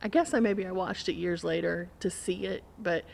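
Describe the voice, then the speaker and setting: A middle-aged woman telling stories in casual conversation, in an animated, rambling way. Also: fairly low pitch, moderate pace, gravelly. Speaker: podcast guest or co-host. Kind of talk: casual chat, storytelling.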